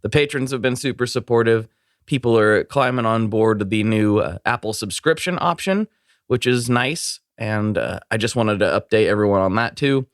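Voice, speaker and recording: A man's voice: lowish voice, normal speed, dry recording, clean studio sound. A congested man hosting a casual podcast, rambling and apologetic as he gives updates and greets a guest. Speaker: podcast host.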